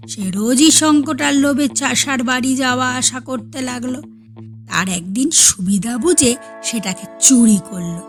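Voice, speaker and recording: A woman storyteller reads expressively in a high voice. Her delivery is slow and measured, over faint background music. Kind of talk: expressive audiobook-style story reading.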